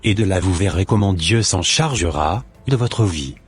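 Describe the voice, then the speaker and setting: Deep raspy guy preaching to the brothers and sisters, slow and earnest, faint music behind. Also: lively intonation. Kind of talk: sermon-like exhortation.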